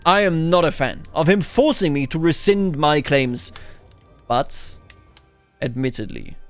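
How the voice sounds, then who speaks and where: Higher-pitched man commentating over game music. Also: expressive, a little slow. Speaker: gaming video host. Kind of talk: roleplay strategy game commentary.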